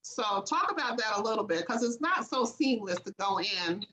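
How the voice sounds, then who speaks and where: A woman's voice: mid-range voice, lively intonation, moderate pace, sharp tone, roomy sound, slight background noise, low-quality recording. An American woman hosting a video call, telling her own experiences in a candid, storytelling way. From United States. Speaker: host. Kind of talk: casual video call discussion.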